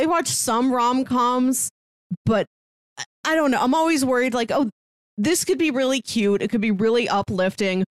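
A woman's voice: a little high, dry recording, clean studio sound. A woman co-hosting a podcast, giving her take in a casual film review chat. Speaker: podcast co-host.

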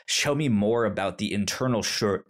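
A man with a fairly low voice co-hosts a casual podcast chat about films. He talks loosely, tripping over words, stammering and restarting his sentences as he thinks out loud. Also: moderate pace. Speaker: podcast co-host.

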